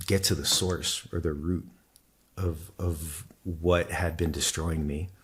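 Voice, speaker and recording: A man whose first language is Spanish, a podcast guest thoughtfully telling his own story in a measured, explanatory way. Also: a bass voice, steady tone, breathy edge. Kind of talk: reflective personal storytelling in conversation.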